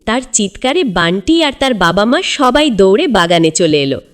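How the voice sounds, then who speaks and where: A woman's voice: medium pitch, sing-song, normal speed. A woman narrating a scripted children's story in a dramatic, suspenseful storyteller's manner.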